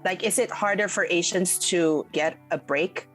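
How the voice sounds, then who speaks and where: A woman's voice: on the low side, moderate pace, fairly bright tone, faint music behind. A woman hosting an interview, asking friendly, curious questions. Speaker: interview host.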